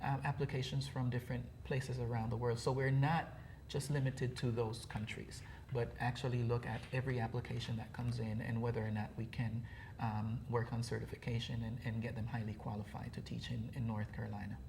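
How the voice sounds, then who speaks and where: A middle-aged man from Costa Rica with a slight Costa Rican Spanish accent, explaining things in a measured way with frequent fillers as a guest on a TV interview. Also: medium pitch, flat delivery, vocal fry, a little mellow, a little hiss or hum. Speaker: program director guest.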